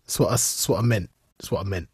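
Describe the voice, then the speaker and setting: A man co-hosting a podcast in casual banter, amused, teasing and quick to mock himself. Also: on the low side. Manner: amused, teasing, self-mocking. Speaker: podcast co-host.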